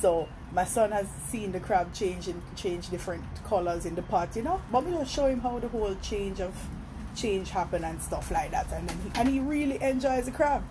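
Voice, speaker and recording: A Jamaican woman talks into her phone on a live stream at a seafood restaurant. Her voice sits at an ordinary middle pitch, and she is chatty, tells stories and stops often to greet viewers. A faint background noise stays under her voice the whole time. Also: animated, moderate pace. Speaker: vlogger. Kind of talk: casual live-stream chat.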